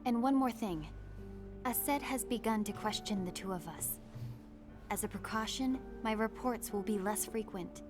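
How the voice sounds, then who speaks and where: A composed young woman leader, played by an actress in a fantasy game cutscene, earnest and formal. Her mid-pitched voice moves with measured care. Game music plays clearly behind her. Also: close to an everyday voice. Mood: gently insistent. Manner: formal, measured, careful diction. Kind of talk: dramatic video game cutscene dialogue.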